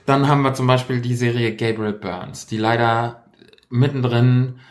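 A man's voice: medium pitch, steady tone, moderate pace. A man hosting a casual podcast chat, playfully quizzing his co-hosts and reading out answer options. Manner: playful, reads out quiz options. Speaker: podcast host, quiz master.

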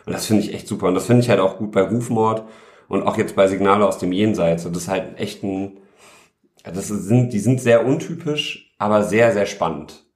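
A man's voice: fairly low pitch, moderate pace, a little mellow, reverberant. A man co-hosting a podcast, chatting casually and stumbling over his words as he rambles. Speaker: podcast co-host.

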